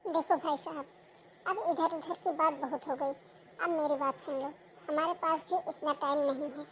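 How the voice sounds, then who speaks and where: A woman's voice: high voice, steady tone, moderate pace, slight background noise, low-quality recording. A woman voicing a greedy, grasping mother-in-law. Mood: firm. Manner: demanding, grasping. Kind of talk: Hindi cartoon voice acting.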